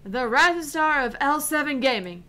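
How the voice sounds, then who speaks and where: A woman's voice: high voice, expressive, normal speed, pushed and bright. A woman voicing a brash, fiery young female gamer with a theatrical, foul-mouthed manner, reading out a visual novel game. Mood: grandiose.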